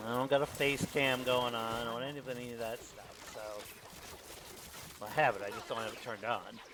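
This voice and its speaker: A man streaming a game, chatting in a relaxed, rambling way. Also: medium pitch, normal speed, background noise. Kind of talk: casual commentary while gaming.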